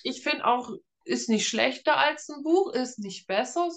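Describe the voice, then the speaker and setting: Casual woman author, slightly high voice. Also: animated, measured pace, a bit bright. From northern Germany. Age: around 30. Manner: informal, explanatory. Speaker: author, interview guest. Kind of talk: casual interview conversation.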